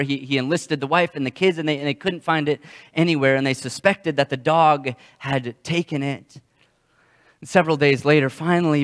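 A young adult man gives a sermon in a slightly high-pitched voice. His delivery is halting, with words repeated as he moves through his stories. Long reverb from a hall surrounds the voice. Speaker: pastor. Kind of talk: sermon with storytelling. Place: church sanctuary, indoors.

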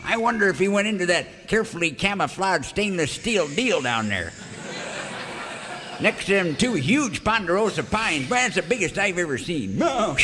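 A man's voice: high-pitched, big pitch swings, moderate pace, slight background noise, heavily acted. A man playing a wizened, folksy, hammy snooping character in an old-time radio Western parody. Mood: puzzled. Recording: echoey stage, loud music.

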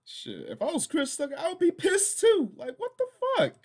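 A middle-aged American man hosting a reaction video in casual, halting, exasperated commentary. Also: very high voice, wildly swooping pitch.

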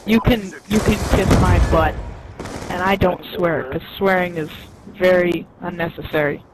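A man's voice: high-pitched, fairly even intonation, normal speed. A man talking in casual game voice chat with a prim, scolding manner. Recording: noisy headset game chat with gunfire.

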